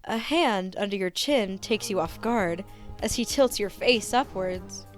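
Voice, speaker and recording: A woman reading fan fiction aloud as an expressive narrator. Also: medium pitch, unhurried, faint music behind.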